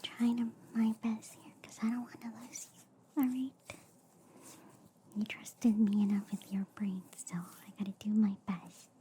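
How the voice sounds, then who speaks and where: A woman whispering softly and soothingly in an ASMR roleplay as a gentle, reassuring alien doctor, close to the microphone. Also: medium pitch, level tone, a little slow, a little hiss or hum. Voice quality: soft, breathy whisper.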